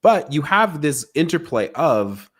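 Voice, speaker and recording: A man's voice: a little high, sing-song, a little slow, slightly rough. A man hosting a podcast, speaking in a casual, eager and curious way, often circling back over his phrases as he frames a question. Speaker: podcast host. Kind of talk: casual conversational interviewing.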